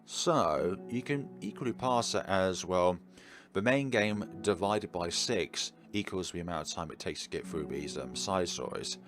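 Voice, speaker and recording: A man reading a visual novel aloud in an expressive, dramatic way, with casual commentary of his own. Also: low-pitched, unhurried. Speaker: game playthrough narrator.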